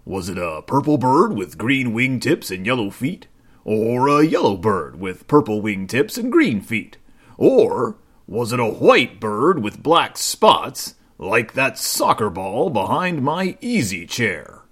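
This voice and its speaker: A man's voice: medium pitch, sing-song, slow, a bit bright, breathy edge, exaggerated voice. A man voicing a good-natured, puzzled father bear in a storybook, asking bemused questions.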